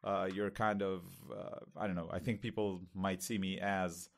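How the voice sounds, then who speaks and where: Candid Israeli-accented man, low voice. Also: little pitch movement. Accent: Israeli, lighter than most. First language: Hebrew. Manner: candid, thinks aloud with many pauses and fillers. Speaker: podcast co-host. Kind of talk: casual conversation.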